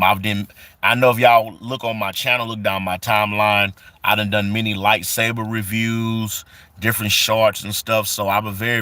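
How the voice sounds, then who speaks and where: A man's voice: fairly low pitch, pushed and bright, dry recording. Forty-year-old man live-streaming casual chat. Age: 40s. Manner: reads chat comments aloud and greets viewers; loose, repetitive, with many fillers.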